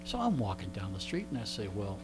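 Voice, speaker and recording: A man's voice: on the low side, wildly swooping pitch, fast talker, darkish tone, rough recording. A middle-aged or older man telling casual anecdotes in a wry, joking, digressive way. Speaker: interview guest and storyteller. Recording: clear background mains hum.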